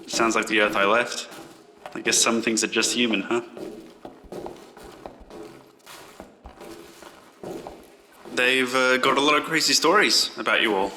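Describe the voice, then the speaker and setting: A man's voice: mid-range voice, fairly quick, bright, forceful tone. A man playing a polite, lonely, tentative newcomer awakened centuries late in a sci-fi audio drama. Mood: wry, reflective. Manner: tentative, mild, conversational. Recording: roomy echo with music underneath.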